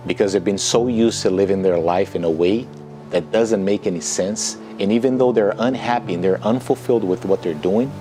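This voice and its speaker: Brazilian guy in his 30s, low voice, reflective and earnest promo story, music playing clearly behind. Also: mellow. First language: Portuguese. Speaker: author and entrepreneur promoting a book. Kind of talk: earnest personal testimonial.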